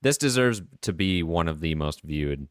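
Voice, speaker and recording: Really low clear male voice, dry close sound, podcast cohost giving casual opinions, swears a bit. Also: expressive, moderate pace, no room sound. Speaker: podcast co-host reviewing web horror series.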